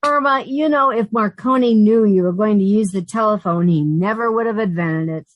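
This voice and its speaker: A woman's voice: medium pitch, moderate pace, no echo, highs cut off, natural voice. An actress playing a wisecracking, exasperated but fond working woman in an old-time radio sitcom style, with wry delivery. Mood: sardonic, exasperated. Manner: wry, sardonic quips.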